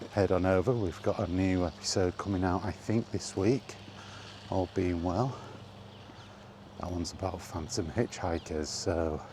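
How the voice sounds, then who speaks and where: A man's voice: low-pitched, background noise. A man chatting casually in a rambling, hesitant vlog style, thinking aloud as he goes. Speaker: gaming content creator and podcaster.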